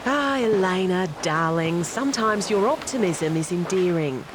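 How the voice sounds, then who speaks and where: A woman's voice: lowish voice, lively intonation, unhurried, noisy background. A woman playing a cool, dry, teasing treasure hunter in an audio drama.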